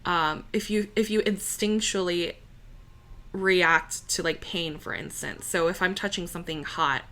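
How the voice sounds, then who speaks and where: Slow, bright-toned young woman chatting. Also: on the low side. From United States. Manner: relaxed and chatty, many fillers, laughs easily. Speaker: interview guest, opera singer. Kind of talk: casual conversational interview.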